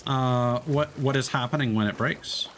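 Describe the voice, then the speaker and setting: A man's voice: mid-range voice, fairly even intonation, normal speed, fairly bright tone. A man streaming a game, chatting casually and explaining fishing tips with lots of fillers and restarts. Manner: rambling, hesitant, many fillers and restarts. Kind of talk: casual gameplay chat. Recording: faint background noise.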